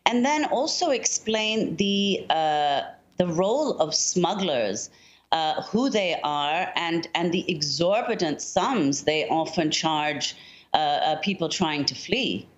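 Deep-voiced woman interviewer, slightly muffled. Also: expressive, slow. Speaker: news show co-host. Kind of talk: interview questioning. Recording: slightly dull, lo-fi remote link.

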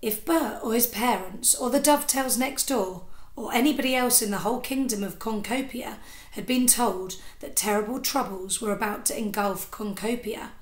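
A woman in her thirties reading a children's story aloud with expressive narration, like a teacher, into a device at arm's length indoors. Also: fairly high pitch, moderate pace. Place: small indoor room with sofa. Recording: echoey room.

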